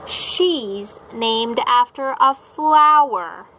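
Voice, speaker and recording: Mocking young classmate a female reader's storybook character, smug, high voice, light background noise. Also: expressive, extremely slow, bright, forceful tone, full character voice, low-quality recording. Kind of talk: storybook read-aloud.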